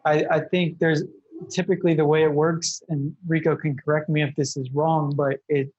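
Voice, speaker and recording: A man who is a guest, sharing lessons from building a product business. He speaks in a thoughtful, measured way and hedges with fillers. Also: fairly high pitch, level tone, darkish tone, dull audio, slight background noise. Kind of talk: casual interview talk.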